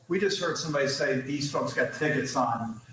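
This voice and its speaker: A man's voice: medium pitch, moderate pace, a bit bright. A man questioning a witness as a lawyer in a formal courtroom style. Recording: very echoey courtroom, faint noise.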